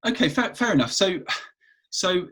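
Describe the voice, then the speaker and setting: A man hosting a podcast, asking halting questions and affirming with repeated "sure, sure". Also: high-pitched, expressive, fairly bright tone. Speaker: podcast host. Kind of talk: interviewing.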